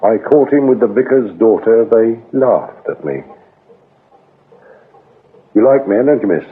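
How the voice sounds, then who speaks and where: An actor playing a shrewd, scornful British police inspector interrogating in a radio drama, sardonic and accusing. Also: male voice, fairly low pitch, animated, a little slow, breathy edge. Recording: lo-fi, narrow-band radio drama recording.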